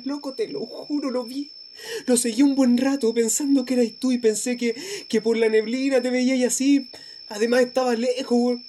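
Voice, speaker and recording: A woman's voice: fairly high pitch, dark tone, a little hiss or hum, natural voice. A woman with a Chilean accent acting an urgent, pleading, frightened young friend in a radio drama. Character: frightened young friend who saw the devil.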